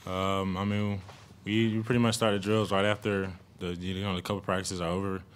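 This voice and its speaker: Laid-back young man, low voice. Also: level tone, normal speed. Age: young adult. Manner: laid-back, joking, lots of "you know". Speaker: college football receiver. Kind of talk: postgame press conference answers. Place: press room in front of a logo backdrop. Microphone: table mic on a stand.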